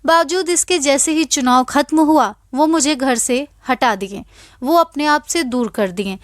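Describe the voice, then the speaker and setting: A woman's voice: sits high, unhurried, no echo, studio quality. A woman reading scripted celebrity news as an anchor, in an emphatic, dramatic storytelling way.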